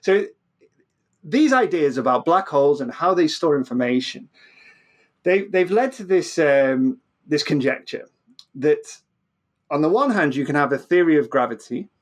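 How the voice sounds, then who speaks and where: Guy explaining stuff in an interview, kinda high voice, trips over words and repeats himself a lot. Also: expressive, moderate pace. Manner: stammering, repeats words and restarts often. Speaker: guest physicist.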